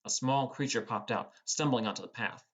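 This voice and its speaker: A man's voice: mid-range voice, brisk, slightly rough. A man reading first-person scripted narration of a fantasy story as an audiobook narrator.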